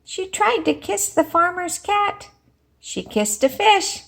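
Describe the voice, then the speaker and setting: A woman teacher reading a storybook aloud and talking to young children, expressive, with questions and pauses for them. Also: very high voice, vocal fry. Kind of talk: animated storybook read-aloud and lesson.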